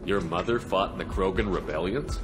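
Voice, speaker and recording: A man playing a calm, no-nonsense military space commander in a video game, measured and matter-of-fact. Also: sits low, over music, close to an everyday voice. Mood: skeptical, firm.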